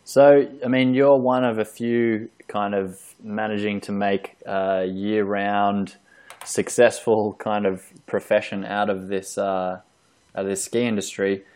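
A man with a low voice that barely rises or falls hosts a casual podcast interview. He asks rambling questions, stopping often to restart a thought or add an "uh". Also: flat delivery, unhurried, darkish tone, smooth. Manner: hesitant, filler-heavy questions. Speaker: podcast host. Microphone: online call recording.

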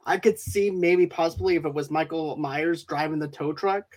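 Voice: mid-range voice, normal speed, darkish tone. A co-host talking steadily and explaining points in a casual chat, often adding "and everything".

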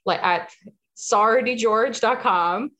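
A woman guest in a casual interview, talking in a calm, even way that she calls monotone. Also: on the high side, slow pace. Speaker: guest, marketing specialist.

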